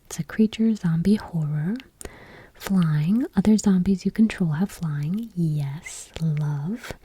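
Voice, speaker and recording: A woman speaking in a soft, breathy whisper, reading aloud gently and unhurriedly in an ASMR style. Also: on the low side. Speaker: host of an ASMR channel.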